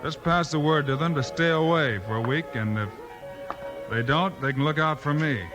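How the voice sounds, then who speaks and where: An actor playing a fearless western gunfighter hero in a 1950s radio drama, firm, terse and calmly threatening. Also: male voice, on the high side, moderate pace, over music, natural voice. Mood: cool, warning. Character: steady, fearless gunfighting lawman hero of the West.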